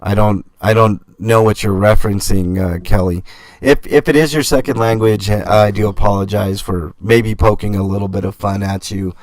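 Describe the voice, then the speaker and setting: A man hosting a casual livestream, reading viewer comments and answering in a halting way with many fillers and restarts. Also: fairly low pitch, steady tone, no room sound.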